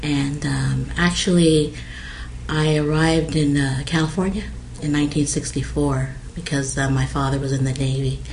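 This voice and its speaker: A middle-aged woman from American Samoa speaks in a flat, level tone, pausing often with "uh" as she recalls things. The recording carries a faint hiss underneath. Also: low voice, low-quality recording. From American Samoa, raised in Hawaii. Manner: hesitant, many fillers. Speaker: interviewee. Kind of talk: oral history interview.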